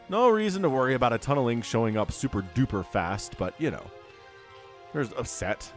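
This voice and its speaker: A man with a congested voice from a cold, casting a strategy game match in a chatty, joking way. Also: medium pitch. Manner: chatty, joking asides, excited at fights. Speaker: StarCraft caster and show host. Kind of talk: casual game commentary.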